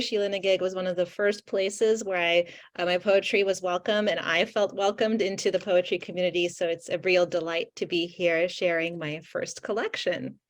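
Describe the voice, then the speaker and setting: A middle-aged woman from Ukraine whose first language is Russian, reading her poems slowly and deliberately over a video call. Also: on the low side. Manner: slow, deliberate reading with weighted pauses. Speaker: poet. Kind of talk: poetry reading. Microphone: video call microphone.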